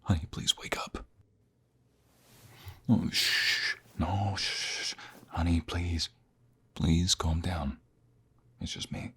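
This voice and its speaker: A man playing a protective captive ex-mobster in an audio roleplay, earnest and emotional, with a husky, breathy voice. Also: low voice, steady tone, very slow, pauses often, a bit bright, heavily acted. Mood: anxious, soothing. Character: protective captive ex-mobster, devoted to his fiancée. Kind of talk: scripted audio roleplay acting, ASMR.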